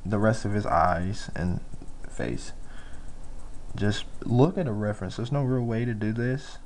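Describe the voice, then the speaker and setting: Gravelly low-voiced man teaching drawing. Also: a little hiss or hum. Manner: halting, informal, pauses while working. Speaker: drawing tutorial instructor. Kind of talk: casual step-by-step instruction.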